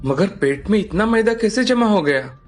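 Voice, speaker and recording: A man's voice: high-pitched, animated, normal speed, soft background music. A man voicing a dutiful, worried young husband in a cartoon story. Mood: worried, respectful.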